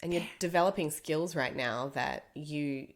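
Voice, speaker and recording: A woman chatting as a podcast co-host, her voice low and raspy as she gets over a cold. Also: measured pace. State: husky from a lingering cold with a cough. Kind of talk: casual conversational podcast chat.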